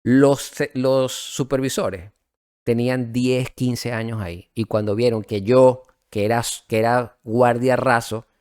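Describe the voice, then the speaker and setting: A middle-aged man with a Venezuelan accent, telling his story as a guest in a casual interview, relaxed and reflective, with many fillers and restarts. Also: mid-range voice, a little slow, clear, resonant tone, dry recording, clean studio sound.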